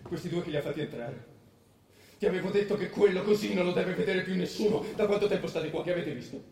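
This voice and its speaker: A domineering grown son takes over from his ageing father in a radio play. His voice is high for a man and ringing with room echo, insistent and anguished, pressing each point again. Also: fast talker, vocal fry. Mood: tense, threatening. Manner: insistent, forceful, repeats himself. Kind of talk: Italian radio drama acting. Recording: roomy, echoing radio drama recording.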